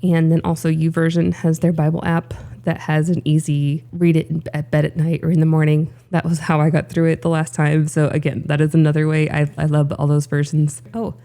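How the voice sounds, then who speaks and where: Texan lady in her 30s, low warm voice, chatty and earnest, talking to camera at home. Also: monotone, a little fast, few pauses. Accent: light Texan. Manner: earnest and chatty, thinking aloud. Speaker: Bible study video host. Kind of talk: casual explanatory talk to camera. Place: at home, seated by a dog door.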